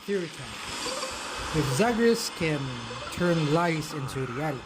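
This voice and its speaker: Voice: mid-range voice, very animated, slow, very noisy. A game streamer giving casual live commentary and musing aloud. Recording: loud game music behind. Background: loud music from game.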